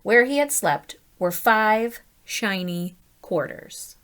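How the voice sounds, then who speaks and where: A woman reading a children's story aloud as a teacher, in an animated, deliberate way, putting questions to her listeners. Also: mid-range voice, clean tone.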